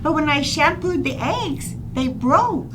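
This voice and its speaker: Woman acting high, bubbly, scatterbrained radio-comedy wife. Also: animated, a little slow, noisy background. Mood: earnest, daffy. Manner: bubbly, innocent, earnest. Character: cheerful scatterbrained wife with daffy sincere logic. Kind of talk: old-time radio comedy acting on stage.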